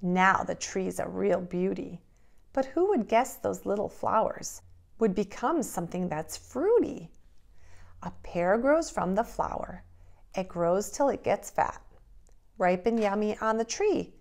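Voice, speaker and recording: A middle-aged woman speaking as a preschool teacher, deliberately and expressively addressing young children in a simple read-aloud, instructional style. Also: medium pitch, animated, vocal fry, a little mellow.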